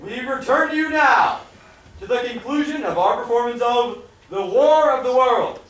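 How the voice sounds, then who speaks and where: A man's voice: very high voice, unhurried, a bit bright, soft background music. A man playing a formal, grandly emphatic 1930s network radio announcer on a stage. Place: live theatre stage. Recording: echoey, distant stage pickup, faint noise.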